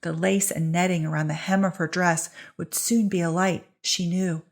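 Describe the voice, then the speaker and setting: A woman's voice: on the low side, fairly even intonation, moderate pace, gravelly. A woman reading a fiction story aloud as narrator, in a dramatic scripted style.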